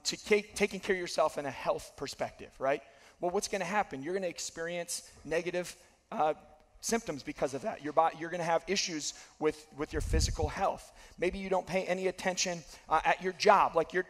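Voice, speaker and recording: High-voiced pastor, hoarse from a lost voice. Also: male voice. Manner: earnest, repeats key phrases for emphasis. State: losing his voice, slightly hoarse. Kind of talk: sermon. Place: large church stage with dark backdrop. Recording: long hall reverb.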